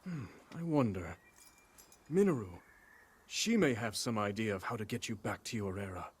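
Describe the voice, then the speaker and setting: A calm, wise king. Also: on the low side, husky. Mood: reassuring. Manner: calm, reassuring, deliberate. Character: wise non-human king, caring elder brother. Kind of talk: game cutscene voice acting.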